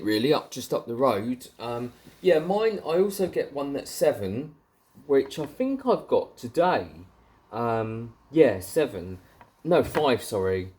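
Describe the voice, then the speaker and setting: A man's voice: medium pitch, a little mellow. A man with a southern English accent chatting casually and informally, like a hobbyist making an off-the-cuff vlog. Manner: chatty, informal British slang. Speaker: CB radio hobbyist vlogger.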